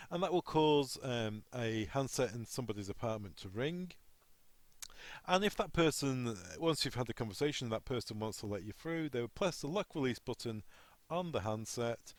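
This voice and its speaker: A man's voice: mid-range voice, no echo. A man working as a technical trainer, explaining equipment step by step in an instructional walkthrough.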